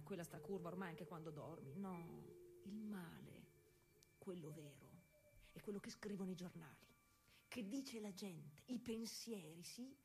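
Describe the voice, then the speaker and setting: A woman's voice: medium pitch, slight background noise, close to an everyday voice. A woman playing a working-class 1920s cyclist, weary but stubborn, in an intimate, colloquial theatrical monologue. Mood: defiant. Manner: intimate colloquial storytelling with dramatic pauses.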